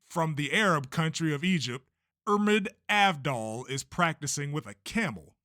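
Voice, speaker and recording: A man playing a showy, unflappable race promoter who speaks grandly and deliberately, in an amateur manga dub. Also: medium pitch, fairly bright tone, no room sound, clean studio sound. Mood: showy, presenting.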